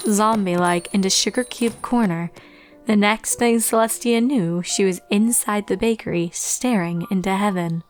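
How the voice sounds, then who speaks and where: A woman narrates a story in a scripted storybook style, with a mid-to-low pitch for a female voice. Music plays behind her. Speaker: fan fiction narrator.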